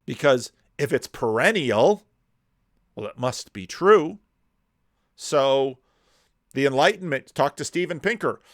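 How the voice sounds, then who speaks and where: A man commenting on a video clip, talking in animated rhetorical questions with slow, deliberate emphasis. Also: medium pitch, dry recording, clean studio sound.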